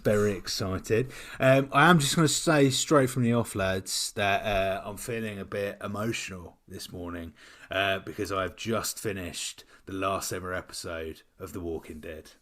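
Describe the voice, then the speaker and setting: A man's voice: deep voice, moderate pace, a bit bright. British man, blokey podcast co-host. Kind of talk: casual banter.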